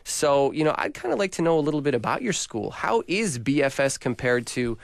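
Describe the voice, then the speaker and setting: A man's voice: mid-range voice, sing-song, brisk, vocal fry, no room sound. A man hosting a radio show, interviewing students casually with jokey, slangy banter.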